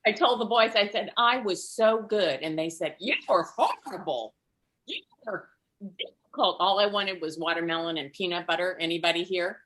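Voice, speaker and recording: A middle-aged woman telling stand-up stories in a conversational way, with dramatic pauses. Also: lowish voice, a bit bright, low-quality recording. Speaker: stand-up comedian. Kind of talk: stand-up comedy storytelling. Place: online video event.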